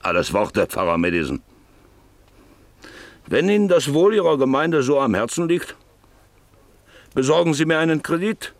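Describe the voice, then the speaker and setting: An actor playing a hard, scheming landowner in a German radio play, brusque, sardonic and persuasive. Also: male voice, fairly high pitch, big pitch swings, normal speed, pauses often, no echo. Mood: scornful, persuasive. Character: hard, scheming landowner and father, scornful businessman.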